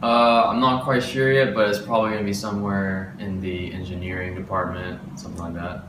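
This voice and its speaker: A young American man in his late teens with a low voice gives casual, tentative answers as a student interviewee. He is recorded a little away from the mic, with echo and clear background noise. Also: flat delivery, fairly quick. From Washington state, United States. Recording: distant mic, echoey room, clear noise.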